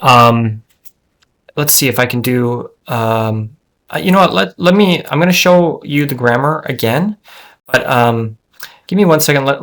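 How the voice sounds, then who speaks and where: Voice-over: a casual man thinking aloud. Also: medium pitch. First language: English. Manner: thinking aloud with frequent fillers and restarts. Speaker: app developer demoing his language app. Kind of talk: casual screen-share software demo.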